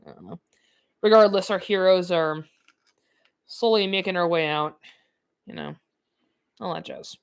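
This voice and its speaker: A woman's voice: lowish voice, unhurried, pauses often, clear, resonant tone. A nineteen-year-old woman giving rambling, chatty commentary while playing a video game, full of "like" fillers and frequent laughs. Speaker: gaming YouTuber. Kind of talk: rambling let's-play commentary.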